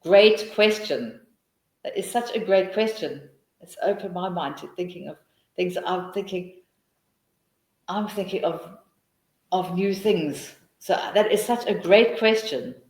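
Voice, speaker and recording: A woman's voice: lowish voice, a little slow, a little mellow, reverberant, rough recording. A middle-aged woman with a South African accent, answering questions in a webinar and thinking aloud with many restarts. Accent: South African English. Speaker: teacher trainer.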